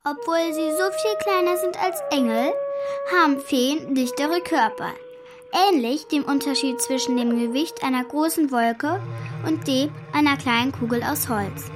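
A woman's voice: high voice, a little slow. A woman reading scripted lore in a matter-of-fact reciting manner. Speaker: narrator, reader. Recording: voice under loud music.

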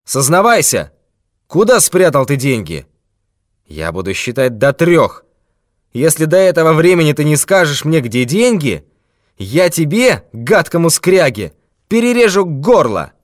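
A man voicing a brutal, mocking red-haired robber who shouts threats in a raised, forceful voice. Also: fairly high pitch, big pitch swings, a little slow, no room sound, studio quality, pitched above the performer's own voice. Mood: threatening.

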